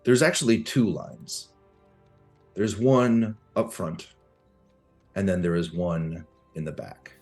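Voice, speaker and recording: Slow, very deep-voiced male game master. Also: fairly even intonation, darkish tone, faint music behind. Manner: slow, hesitant, descriptive, many fillers. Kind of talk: narrating a tabletop game.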